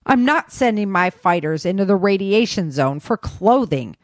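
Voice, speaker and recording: A blunt, commanding army major read by a woman in an audio story. He is curt and quick to protest, and the recording is dry and close. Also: medium pitch, lively intonation, no echo, natural voice. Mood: defiant, indignant.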